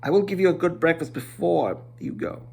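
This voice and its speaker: A man's voice: on the high side, fairly quick, gravelly, a little mellow, slightly rough, voice pushed up. A man voicing a timid, polite little hobbit in a storybook reading, fussy and hesitant, rambling courteously. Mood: flustered, polite.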